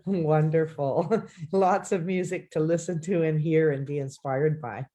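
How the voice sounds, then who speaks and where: A co-host of a relaxed online arts program speaks with a mellow, darker tone. The delivery is enthusiastic and explanatory, with easy laughter. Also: medium pitch, unhurried. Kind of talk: casual live-stream chat.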